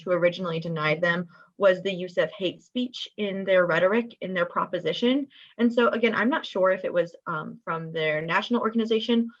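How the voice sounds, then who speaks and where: Low-voiced young woman, dull lo-fi audio. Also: fairly bright tone. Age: young adult. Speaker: student guest. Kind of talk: earnest discussion.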